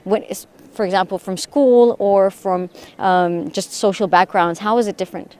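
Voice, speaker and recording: A woman's voice: mid-range voice, normal speed, a little mellow. A woman news reporter interviewing students live on location at a university, prompting them with questions and restating their answers. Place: university campus in Rome.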